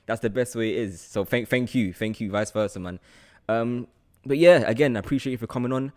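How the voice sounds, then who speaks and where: A man's voice: mid-range voice, brisk, a little mellow. A man hosting a casual podcast, effusive and informal, repeating phrases for emphasis into a close microphone. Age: 20s. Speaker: podcast host. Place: room indoors with large window. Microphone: podcast microphone on boom arm, close.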